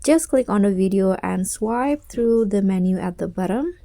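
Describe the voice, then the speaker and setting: Mellow-voiced woman hosting a tutorial. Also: mid-range voice, lively intonation, normal speed, no echo. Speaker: tutorial host. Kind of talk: casual software tutorial narration.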